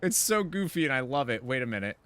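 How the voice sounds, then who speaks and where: A man's voice: mid-range voice, very animated, quick pace, fairly bright tone. A man giving casual, rambling and enthusiastic gameplay commentary as he chats with his viewers. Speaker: video game streamer.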